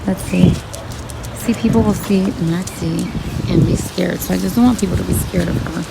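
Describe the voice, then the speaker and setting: A woman with a slightly raspy voice, chatting casually to her viewers as she browses plants in a garden center. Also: on the low side, normal speed, very noisy. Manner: chatty, thinking aloud while browsing. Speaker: vlogger. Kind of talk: casual shopping vlog talking to viewers. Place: garden center of a large store.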